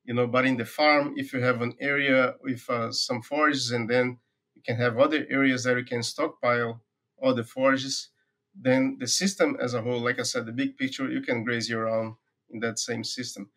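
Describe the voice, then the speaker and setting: A middle-aged man with a Brazilian accent speaks in an easygoing, conversational way, explaining his work as a researcher. His voice sits at an ordinary pitch, and his speech is full of small pauses, fillers and restarts as he gathers his thoughts. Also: flat delivery, a bit bright. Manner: thoughtful, many "uh" fillers and repeated words. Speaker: forage agronomy professor, guest. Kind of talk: conversational interview answers.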